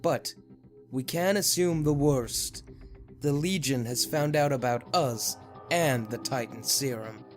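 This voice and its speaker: A calculating villain lieutenant who delivers bad news in a measured, businesslike tone, as a man acts him out. He speaks slowly at a middle pitch. Faint music sits behind. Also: natural voice. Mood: grave. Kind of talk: fan audio drama acting.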